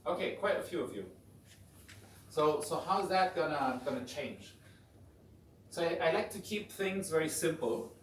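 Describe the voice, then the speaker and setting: A man's voice: a little high, a little slow, long pauses. German-accented man lecturing in an echoey room. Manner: relaxed, informal, frequent restarts. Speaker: guest lecturer. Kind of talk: conversational lecture. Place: hotel conference room. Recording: echoey room, distant mic, faint noise.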